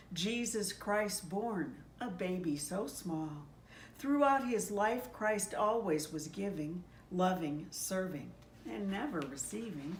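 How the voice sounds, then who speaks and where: Slow-paced woman reading a story, echoey room. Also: mid-range voice. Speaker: pastor reading a children's story aloud. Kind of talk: read-aloud storytelling. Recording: echoey room, distant mic, faint noise.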